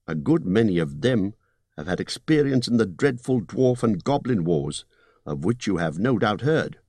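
A man voicing a fussy, polite male hobbit burglar who talks in a businesslike, slightly squeaky way. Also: very animated, normal speed, a little mellow, no room sound. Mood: persuasive.

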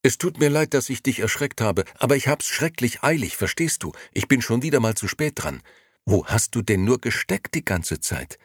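A man voicing an excitable, talkative grown man who rushes out a breathless stream of words. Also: mid-range voice, no echo, clean studio sound, pitched above the performer's own voice, lightly acted. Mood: eager rushing.

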